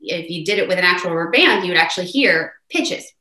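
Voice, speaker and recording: A woman's voice: fast talker, roomy sound. A woman with a mezzo voice, a voice teacher explaining technique animatedly in an interview. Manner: animated, demonstrative, shifts pitch to show points. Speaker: voice teacher guest.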